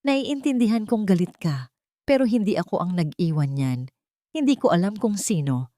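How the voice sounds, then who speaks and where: A woman's voice: mid-range voice, expressive, moderate pace, warm tone, no room sound, voice pushed up, lightly acted. A woman storyteller plays a wealthy man, a grown son who is earnest and defiant. The lines are firm and insistent in a radio-drama manner, recorded dry and close. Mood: earnest denial. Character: earnest, frustrated rich adult son, defiant.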